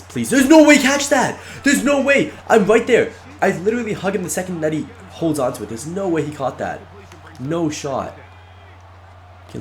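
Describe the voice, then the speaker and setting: A congested man narrating his own video game match live, excitable and shouting at close plays. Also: fairly low pitch. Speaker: gaming YouTuber. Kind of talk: live gameplay commentary.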